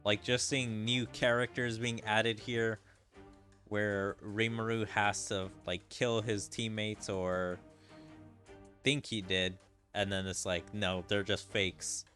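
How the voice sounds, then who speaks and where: A man with a fairly low voice talks through a game at a very unhurried pace. He sounds casual, thinking aloud as he goes, with game music clearly playing behind him. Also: level tone, pushed and bright. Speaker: gaming streamer. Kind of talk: reading game story aloud with casual reaction commentary. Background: video game music.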